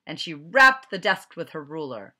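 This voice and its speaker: A woman's voice: low-pitched, very animated, normal speed, pushed and bright. A middle-aged woman reading a picture book aloud to children at home, recorded on a webcam. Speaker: storyteller. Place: small furnished room at home. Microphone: webcam at arm's length.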